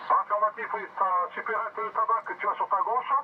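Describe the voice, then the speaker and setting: A man's voice: sits high, steady tone, a little fast. A middle-aged man chatting casually and helpfully over two-way radio as an amateur operator. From France. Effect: two-way radio transmission. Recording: tinny narrow-band CB radio, clear static noise.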